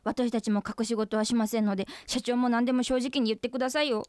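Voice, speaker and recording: A woman's voice: a little high, steady tone, fast, a little mellow, dry recording, clean studio sound, lightly acted. An actress playing an earnest, forthright female office employee pressing her boss in a comic radio drama. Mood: accusing, insistent.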